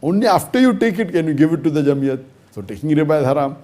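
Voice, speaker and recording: A man with a somewhat high voice and a mellow, soft-edged tone delivers a scholarly answer to a question. He builds his case methodically, leaning hard on key words and posing rhetorical questions, his pitch jumping up for emphasis. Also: lively intonation, fast. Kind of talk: lecture-style question and answer.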